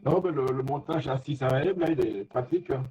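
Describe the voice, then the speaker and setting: A man in a casual technical chat as an amateur radio operator, speaking haltingly and often searching for words. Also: mid-range voice, mellow. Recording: dull, lo-fi radio contact audio.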